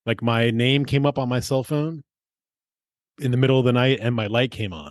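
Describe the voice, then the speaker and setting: Middle aged guy chatting on a podcast, mid pitch, swears a lot, really dry sound. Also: normal speed, no room sound. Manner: profane, informal. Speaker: podcast co-host. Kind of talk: casual chat and personal storytelling.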